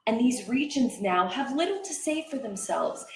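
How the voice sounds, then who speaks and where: A woman reciting poetry as a dramatic reader, with measured, expressive and deliberate phrasing. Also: a little high, a little hiss or hum. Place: indoor library event room. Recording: echoey room, live reading.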